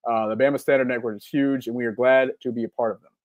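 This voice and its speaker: A man's voice: mid-range voice, fairly even intonation, fast, no echo. A man, co-hosting a live-stream podcast and reading chat comments in a rambling, upbeat way. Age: 40s. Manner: rambling and upbeat, full of ums and repeats. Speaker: podcast co-host.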